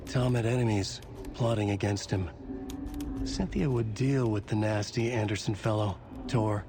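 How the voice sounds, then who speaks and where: A man playing a brooding narrator, telling a dark mystery tale in terse, measured sentences for a game. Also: fairly low pitch, noisy background, close to an everyday voice. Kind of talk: scripted literary narration.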